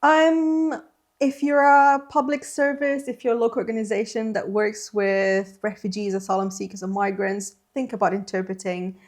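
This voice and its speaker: A woman's voice: mid-range voice, little pitch movement, moderate pace. A woman whose first language is Slovene, answering interview questions on a podcast in a thoughtful, self-deprecating way with many fillers. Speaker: interpreter trainer.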